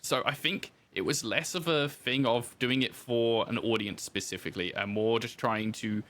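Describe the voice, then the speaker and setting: A man's voice: medium pitch, fairly even intonation, moderate pace, fairly bright tone. A man telling his story in casual interview chat, informal and self-deprecating, as a podcast guest who designs games.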